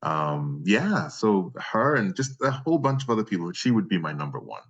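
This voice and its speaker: A man's voice: low voice, sing-song, moderate pace, fairly bright tone, breathy edge, highs cut off. A man, a freelance voice actor, answering questions in a casual interview, talking effusively and appreciatively in long, rambling answers.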